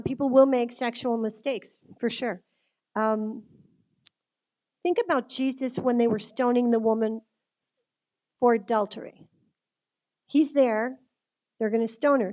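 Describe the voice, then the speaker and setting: Woman, fairly high voice, informal faith speaker; earnest, conversational delivery that puts questions straight to the listener. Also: a little mellow. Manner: earnest, conversational, direct address with rhetorical questions. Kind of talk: informal religious talk to a group.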